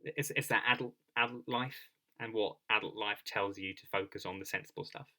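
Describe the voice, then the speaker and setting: A man's voice: fairly low pitch, steady tone, brisk, bright, forceful tone. A man hosting a podcast interview in a conversational way, feeling his way tentatively through roundabout questions and repeating words.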